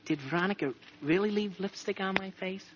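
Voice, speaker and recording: A lovestruck teenage boy, played by a man, stammering and gushing in earnest. His high voice leaps about excitedly in 1940s radio comedy style, on a dull, noisy old recording. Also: brisk, close to an everyday voice. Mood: flustered. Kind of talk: 1940s radio sitcom acting.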